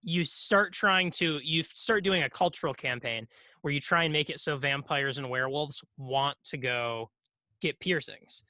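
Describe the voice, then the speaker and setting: A man's voice: a little high, a little slow, sharp tone, dry recording. A man co-hosting a podcast in casual comedic chat, rambling and hesitant, with dry jokes. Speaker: podcast co-host.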